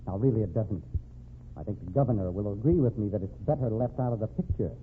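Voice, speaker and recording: Cynical American mob lawyer played by a man, quick, argumentative delivery, vintage radio crime play with narrow, tinny sound. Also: on the low side, lightly acted. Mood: pleading, testy. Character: slick, defensive male gangster's defense lawyer. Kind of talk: old-time American radio drama acting. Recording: thin, band-limited, noisy old radio recording.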